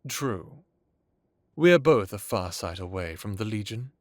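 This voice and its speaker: Man playing a low-voiced, courtly ancient vampire lord. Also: lively intonation, dry recording. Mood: knowing, pointed. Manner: measured, theatrical, formal with dramatic pauses. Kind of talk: dramatic audio roleplay acting.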